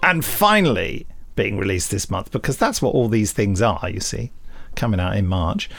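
A man's voice: on the low side, expressive, normal speed, gravelly, a bit bright, slight background noise. A man co-hosting a podcast with casual news chat and rambling, hesitant asides. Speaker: podcast co-host, script editor and director.

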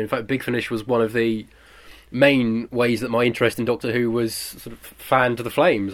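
A British man in his twenties being interviewed as a producer, chatting casually in a modest, rambling way and correcting himself. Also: medium pitch. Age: 20s.

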